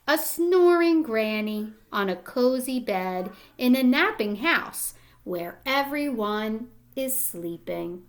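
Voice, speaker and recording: A woman with a fairly high voice reads aloud as a teacher to young children. She speaks very slowly and deliberately, with expressive phrasing, and prompts her listeners with simple questions. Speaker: teacher reading a picture book aloud.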